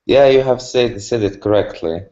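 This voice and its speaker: A man's voice: medium pitch, moderate pace, warm tone. A man from Iraq whose first language is Arabic, speaking English as a student on a video call, hesitant and searching for words. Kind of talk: video call class chat. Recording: dull lo-fi video call audio.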